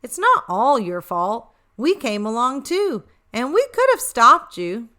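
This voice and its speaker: A woman's voice: a little high, sing-song, unhurried, pushed and bright, exaggerated voice. A woman voicing a loyal young beagle pup in a storybook, earnest and encouraging. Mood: reassuring.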